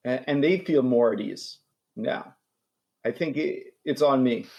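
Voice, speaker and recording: A man's voice: medium pitch, a little slow, vocal fry, dark tone, highs cut off. A man in his forties from New York who stutters, with repeats and restarts, talking as a guest in a casual interview. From New York, USA. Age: 40s.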